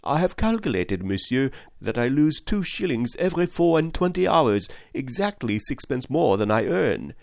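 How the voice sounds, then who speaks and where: A man reading an audiobook voices an excitable, voluble French manservant who talks fast and stubbornly. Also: mid-range voice, gravelly, no room sound, studio quality, pitched above the performer's own voice. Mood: fretful.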